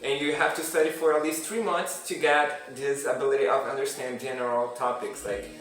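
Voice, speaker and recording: A man's voice: mid-range voice, fairly even intonation, a little fast, a bit bright, distant mic. Young man vlogging in an echoey room. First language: Portuguese. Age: young adult. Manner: hesitant, with frequent restarts and fillers. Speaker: language learning vlogger. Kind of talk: casual vlog monologue.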